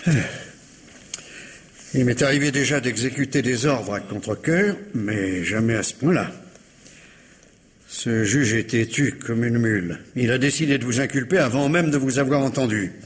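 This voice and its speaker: Actor as formal, reluctant police commissioner. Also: male voice, mid-range voice, natural voice. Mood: dutiful, regretful. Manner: formal, deliberate, apologetic. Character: reluctant, dutiful police commissioner, courteous and measured. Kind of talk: 1950s French radio drama acting.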